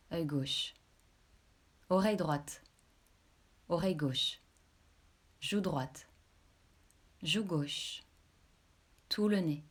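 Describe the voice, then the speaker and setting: Female meditation guide, slightly low voice, very slow and calm, measured delivery for deep relaxation sessions. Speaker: yoga nidra instructor.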